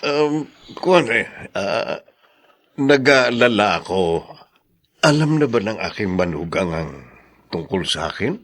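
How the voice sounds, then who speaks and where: A man playing a humble, anxious older father in a radio drama, with a hesitant, halting delivery. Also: on the low side, big pitch swings, fairly bright tone, heavily acted. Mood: hesitant, worried. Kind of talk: Tagalog radio drama acting.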